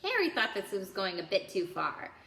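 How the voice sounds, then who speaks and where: A woman narrates a children's book for story time. Her voice is bright and very animated, rising and falling expressively. The recording has a noticeable roomy echo. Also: medium pitch, moderate pace, gravelly. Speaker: storytime reader.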